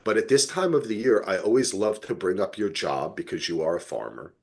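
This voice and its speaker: Husky, animated man, fairly low voice. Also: brisk. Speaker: podcast host. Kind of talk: casual chat.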